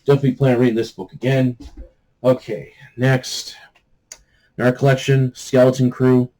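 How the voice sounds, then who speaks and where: A man hosting a book review vlog in a casual, off-the-cuff way, halting and meandering as he searches for words. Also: medium pitch, flat delivery, mellow, rough recording. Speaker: host of a book review channel.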